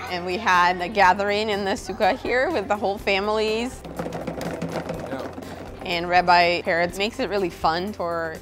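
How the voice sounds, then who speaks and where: A woman describing a community gathering in a personal interview. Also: on the low side.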